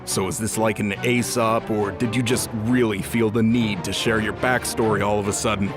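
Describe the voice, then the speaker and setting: Man playing an exasperated, low-voiced sardonic death god. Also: fairly even intonation, normal speed, over music, natural voice. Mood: sarcastic. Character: irritated, sarcastic, foul-mouthed male death deity. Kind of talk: audio drama acting.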